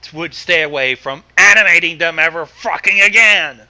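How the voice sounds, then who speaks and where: A man giving casual, informal commentary on a story, in a halting way. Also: fairly high pitch, sharp tone. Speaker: co-commentator on a fan fiction reading. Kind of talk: casual reaction chat.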